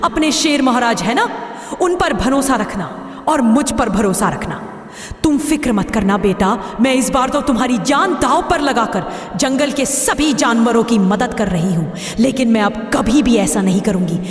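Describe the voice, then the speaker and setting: A woman's voice: on the high side, expressive, moderate pace, natural voice. A woman voicing an anxious, protective mother elephant in an animal cartoon, pleading and fretful. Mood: tender, apologetic. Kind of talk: Hindi animal cartoon voice acting. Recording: distant, echoey reverb under music.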